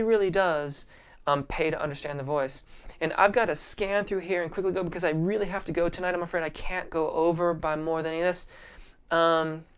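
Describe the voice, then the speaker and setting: Smooth-voiced man hosting a live Q&A. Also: on the high side. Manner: friendly and appreciative, many ums and restarts. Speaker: vocal coach hosting a live Q&A. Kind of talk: casual live-stream Q&A, reading viewer comments.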